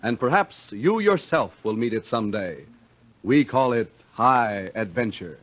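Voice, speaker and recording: A man's voice: lowish voice, lively intonation, a little slow. A man reading scripted 1950s radio announcements in a formal, polished way. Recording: dull lo-fi vintage radio broadcast.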